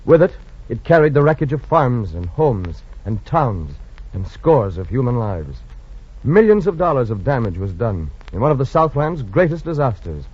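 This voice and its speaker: A man's voice: mid-range voice, very animated, normal speed, breathy edge. A man narrating a scripted 1940s radio drama in a dramatic, grandiose, solemn manner. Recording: muffled old radio recording with music.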